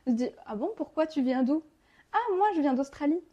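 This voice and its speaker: Higher-pitched young Frenchwoman telling her story. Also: lively intonation, a little fast, mellow, muffled recording. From France. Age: 20s. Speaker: podcast guest. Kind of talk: casual personal storytelling.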